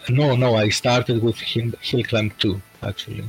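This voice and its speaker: A man from Greece chatting as a podcast guest, speaking slowly and hesitantly with lots of fillers. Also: medium pitch, steady tone, faint music behind, rough recording. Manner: slow and hesitant, many fillers. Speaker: podcast guest, bot creator. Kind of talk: casual chat.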